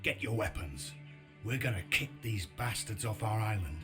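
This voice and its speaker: Man acting a low-voiced, weary resistance fighter. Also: animated, moderate pace, close to an everyday voice. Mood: grim resolve. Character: weary, determined male resistance fighter, reluctant leader. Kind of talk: video game cutscene acting and narration. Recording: music behind the voice.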